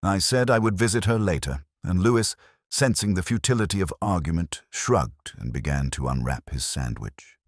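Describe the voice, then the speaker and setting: A man narrating a first-person detective story in a measured, melancholy, literary manner. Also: low-pitched, animated, no echo, clean studio sound. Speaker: audiobook narrator.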